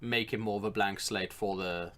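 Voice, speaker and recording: A man's voice: on the low side, steady tone, normal speed, a bit bright. A man co-hosting a podcast, chatting casually about films and games. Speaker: podcast co-host.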